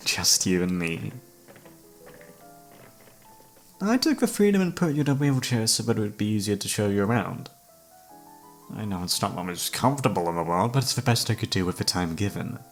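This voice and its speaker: A man's voice: medium pitch, close to an everyday voice. A man acting an obsessive, flirtatious serial killer who holds a detective captive, speaking with teasing mock courtesy in an ASMR roleplay. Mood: smug, courteous. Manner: teasing, mock-polite, theatrical, savouring words. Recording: background music under the voice.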